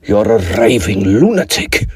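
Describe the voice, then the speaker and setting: Theatrical, sinister young scholar, swooping delivery. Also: male voice, lowish voice, sing-song, a little slow, breathy edge, no echo, full character voice. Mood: indignant. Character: sinister male Oxford student, slyly polite then indignant. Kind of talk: audio drama acting.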